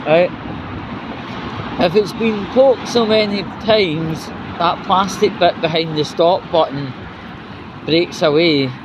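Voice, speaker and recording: Scottish man, slightly high voice, casual walking vlog, sweary, halting delivery, noisy outdoor street with traffic. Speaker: vlogger.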